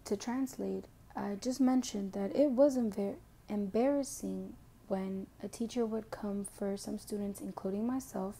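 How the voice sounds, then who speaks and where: A young woman with a Mexican background reading a prepared self-introduction as an education student, slowly and carefully. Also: medium pitch, mellow, slight background noise. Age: young adult. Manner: slow, careful reading, switching to Spanish for a passage.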